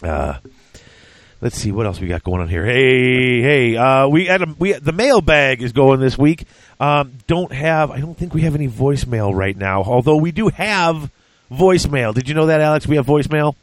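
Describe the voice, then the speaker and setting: A man's voice: mid-range voice, animated, normal speed, dry recording. A man hosting a casual podcast, congested and breathing hard, chatty and self-deprecating with many fillers. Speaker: podcast host.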